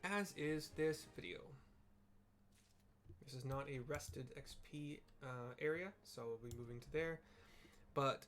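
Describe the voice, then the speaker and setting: Casting note: laid-back man, mid-pitched, rambling gamer talk full of fillers, home desk mic. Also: measured pace, pauses often, a bit bright, soft background music. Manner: rambling, filler-heavy, self-deprecating. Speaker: game streamer narrating for blind viewers. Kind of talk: casual gameplay chat and description.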